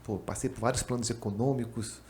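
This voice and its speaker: A man in his fifties from Pernambuco, hosting a podcast and chatting in an animated, slangy way. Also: mid-range voice, a little fast, slight background noise. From Pernambuco, Brazil. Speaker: podcast host, accountant. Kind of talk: casual chat. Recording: echoey room.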